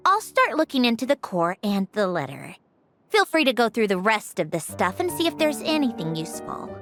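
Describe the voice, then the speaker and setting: Proud, prickly young woman genius for a game: highish, animated, brash. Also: normal speed, soft background music. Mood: focused, smug. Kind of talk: English video game dub.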